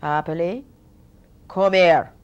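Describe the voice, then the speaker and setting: A woman's voice: fairly low pitch, steady tone, slow, clear, resonant tone, muffled recording, voice pushed down. A woman storyteller voicing a cruel middle-aged stepmother who gives cold, threatening commands. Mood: menacing.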